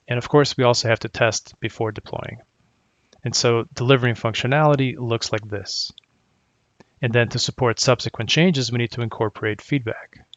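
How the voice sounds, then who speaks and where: Gravelly middle-aged man presenting a talk. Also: mid-range voice, fairly bright tone, no room sound, muffled recording. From Croatia. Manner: measured, explanatory, methodical. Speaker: technical solutions architect presenting. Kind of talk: prepared conference presentation.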